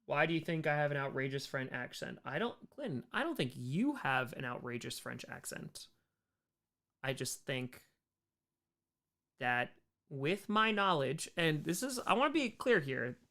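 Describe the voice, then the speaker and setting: An American man hosting a casual livestream Q&A, chatting in an animated way and repeating short phrases for emphasis. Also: a little high, a little slow. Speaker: livestream host answering chat questions.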